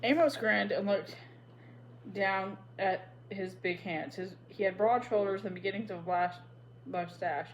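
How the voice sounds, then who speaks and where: A woman narrating a children's storybook in a steady, even read-aloud voice of medium pitch. Her delivery stays unhurried and plain from line to line. A faint hum runs underneath. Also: highs cut off. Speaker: storybook reader. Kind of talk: reading a children's novel aloud.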